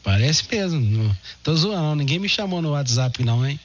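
A man's voice: a little high, sing-song, a little fast. A Brazilian man chatting casually as an amateur radio operator. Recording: dull narrowband radio audio with hum, noise.

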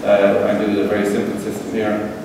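A middle-aged man with an Irish accent giving a relaxed conference talk with a live demo, with frequent fillers, to a seated audience in an event hall. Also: on the low side, brisk, background noise. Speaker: tech presenter. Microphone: room pickup from far camera. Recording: roomy hall echo from far off.

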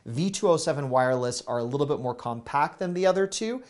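A man in his thirties, slightly hoarse, pitching bargains to camera with upbeat, salesy energy. He talks on a lapel mic in a small studio lined with gadgets. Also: fast talker. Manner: energetic, salesy, gesturing with products. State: a little hoarse. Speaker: deal show host.

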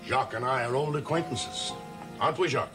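An older actor playing a centuries-old, authoritative emissary of dark powers in a gothic television soap, measured and taunting. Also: male voice, mid-range voice, natural voice. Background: dramatic music.

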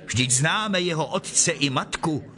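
An indignant male skeptic in a scripture drama. His higher voice is forceful and swings in pitch as he questions with open disbelief. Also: expressive. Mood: indignant, incredulous. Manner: questioning, incredulous. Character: skeptical, indignant Judean man grumbling.

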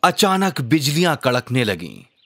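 Expressive storytelling father. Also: mid-range voice, a little slow, full character voice. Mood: solemn storytelling. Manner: expressive, encouraging. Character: warm bespectacled father telling his child religious stories. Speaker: storyteller and narrator. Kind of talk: scripted children's story narration and quizzing.

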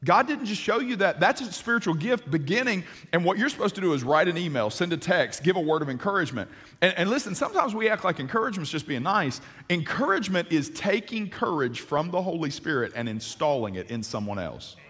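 Husky-voiced male pastor, forties, lively sermon on stage, reverberant hall sound. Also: high voice, fairly quick. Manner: animated, gesturing, many restarts. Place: large church stage.

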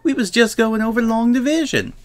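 Man acting a little country filly, high-pitched, earnest, folksy, curious, storybook character. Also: animated, a little fast. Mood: warm, inviting. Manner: folksy, ungrammatical country talk. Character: young country filly, warm, curious, earnest pony girl.

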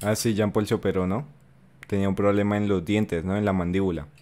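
A man's voice: fairly low pitch, steady tone, fairly quick, a little mellow. A young man with a Colombian accent, chatting and joking as he reacts to a video for his viewers, close to a studio mic in his bedroom. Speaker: reaction streamer. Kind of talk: casual reaction commentary. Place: bedroom streaming setup. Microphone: studio mic on arm in front.

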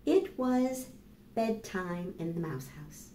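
An American woman in her fifties reading and explaining to young children as a storytime host, with deliberate, animated delivery. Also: lowish voice, a little hiss or hum. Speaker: children's librarian hosting storytime. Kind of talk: children's storytime narration and instruction. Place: small plain indoor room. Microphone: camera microphone a few steps away. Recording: roomy echo, voice far from mic.

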